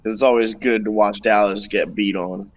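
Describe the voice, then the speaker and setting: A man's voice: lowish voice, steady tone, moderate pace. A man chatting about sports as a guest on a remote call, in a hesitant, tentative manner. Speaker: guest panelist. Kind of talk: casual sports discussion. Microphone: remote livestream call. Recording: dull lo-fi audio.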